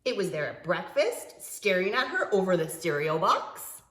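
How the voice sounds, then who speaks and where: A woman preschool teacher reading a picture book aloud to young children, expressively, with dramatic pauses and stretched-out words. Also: on the low side, very animated, fairly bright tone, roomy sound.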